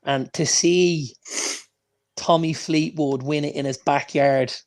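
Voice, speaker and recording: Male podcast co-host, higher pitch, enthusiastic, emphatic casual delivery. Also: steady tone, slow. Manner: enthusiastic, emphatic, repeats phrases for stress. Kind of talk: casual chat.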